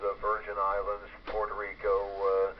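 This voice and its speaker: Low-voiced American man on noisy ham radio. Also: monotone, moderate pace. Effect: FM shortwave radio transmission. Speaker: amateur radio operator. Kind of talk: casual radio chat. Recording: muffled, noisy fading ham radio signal. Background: radio static.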